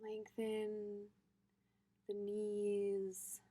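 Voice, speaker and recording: Slow-paced woman guiding a meditation. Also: mid-range voice, completely flat delivery, mellow. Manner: slow, pausing mid-phrase, informal and tentative. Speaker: meditation teacher. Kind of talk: gentle guided meditation.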